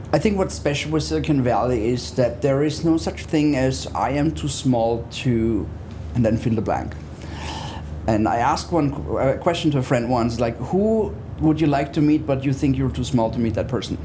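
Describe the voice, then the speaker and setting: A man's voice: medium pitch, lively intonation, moderate pace, noisy background. A man, talking conversationally as a guest in an interview. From Austria. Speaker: interview guest, business therapist.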